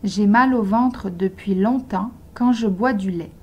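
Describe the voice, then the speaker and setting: A woman reads short model sentences for beginner learners, one at a time. She speaks very slowly and articulates every word with care. Her voice is husky and mellow. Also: medium pitch, dull audio. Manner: slow, carefully articulated dictation. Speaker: language teacher.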